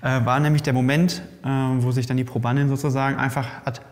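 A male host in casual expert conversation, lively and explaining, often restarting mid-sentence, in a bike fitting lab. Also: medium pitch, quick pace. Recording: long reverb in a lab room.

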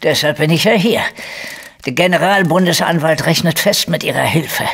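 A raspy, sharp-edged male voice with plenty of gravel, fairly high for a man. a tired, gruff investigator in a crime audio drama, blunt yet evasive. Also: normal speed. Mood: resigned, blunt. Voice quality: gravelly, husky. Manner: blunt, hesitant, throat-clearing.

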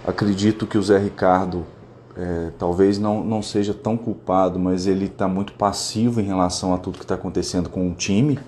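A man leaving a voice message as a fan, giving a measured opinion. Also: deep voice, fairly even intonation, darkish tone, a little hiss or hum. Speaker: caller.

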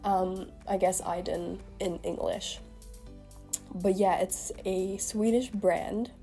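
A woman's voice: medium pitch, fairly even intonation, slow pace, creaky, dark tone, faint music behind. Chatty young woman with a slight cold. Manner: chatty, rambling, lots of "like". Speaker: beauty vlogger. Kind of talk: casual makeup review to camera. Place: small room indoors.

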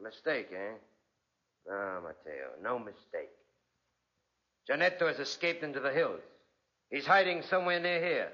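An actor playing a shrewd, needling country sheriff in a 1940s radio drama, with a knowing, pointed manner. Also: male voice, medium pitch, big pitch swings, a little slow, pauses often, pushed and bright. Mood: grave, insistent. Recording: dull, band-limited 1940s radio recording.

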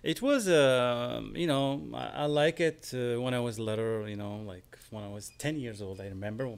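A man in his forties from Morocco with a Moroccan accent, a guest composer in a casual studio interview, talking haltingly and restarting as he searches for words. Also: mid-range voice, gravelly, clear, resonant tone. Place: small studio with screens. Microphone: studio mic on stand, headphones.

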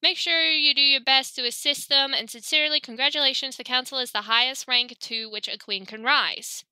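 A woman voicing a brisk female royal advisor in a video game, reading her lines in a hurried, dismissive way. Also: high voice, bright, forceful tone, clear, resonant tone, dry recording.

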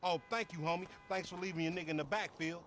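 An actor playing a brash, sarcastic young American street gangster in a video game, taunting and slangy with mock politeness. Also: male voice, high voice, fairly even intonation, fast, a bit bright. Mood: sarcastic, aggrieved. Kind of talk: video game cutscene acting. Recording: game street ambience, traffic noise behind.

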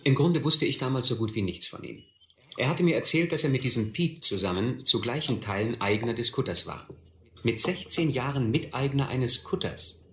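A middle-aged actor playing a reflective man who recalls his boyhood, narrating a radio play in measured, literary style. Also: medium pitch, animated, sharp tone. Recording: echoey old recording, faint noise.

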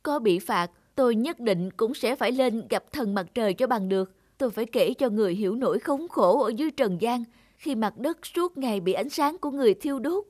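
A woman's voice: fairly high pitch, animated, fairly quick, darkish tone, no echo, clean studio sound. A woman playing a selfless, worn-out peasant mother in a Vietnamese cartoon fairy tale, pleading and tender.

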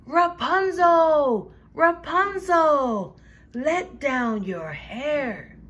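A woman playing a young storybook prince, calling out in a slow, loud sing-song. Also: high voice, lively intonation, muffled recording, voice pushed up, heavily acted.

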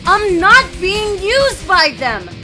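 A woman's voice: very high voice, sing-song, slow pace, over music, exaggerated voice. A woman playing a cynical, emotional teenage schoolgirl in an amateur online radio drama. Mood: angry, hurt. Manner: emotional, indignant, emphatic. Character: cynical, defiant teenage schoolgirl, secretly a model.

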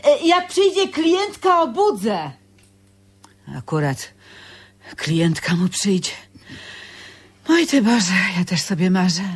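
An actress playing a sharp-tongued, gossipy, world-weary working-class wife in a radio drama, with a husky voice. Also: female voice, mid-range voice, big pitch swings, slow, pauses often, exaggerated voice. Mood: weary, wistful. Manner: colloquial, gossipy. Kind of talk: Polish radio drama acting.

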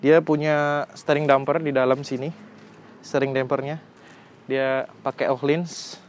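Young male vlogger, medium-pitched, slangy and exclamatory, casual outdoor bike review, light background noise. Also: flat delivery, a little slow. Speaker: motorcycle reviewer. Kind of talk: casual hands-on vlog review. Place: outdoors on a residential street.

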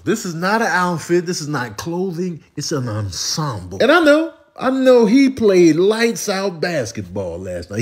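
Husky man with wildly animated delivery. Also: high-pitched. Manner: exclamatory, mock-outraged, slangy. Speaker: comedian doing voiceovers on viral clips. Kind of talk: comedic ad-libbed commentary over video clips.

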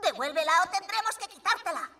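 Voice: very high voice, animated, fast, very noisy. A performer voicing a bossy, grumpy boy ninja villain in a Spanish cartoon dub. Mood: bossy, taunting. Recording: loud music under the voice.

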